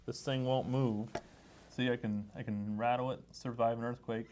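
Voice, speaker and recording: A man's voice: medium pitch, steady tone, moderate pace, heavy background noise. An American man co-hosting a podcast and interviewing in a casual, conversational way.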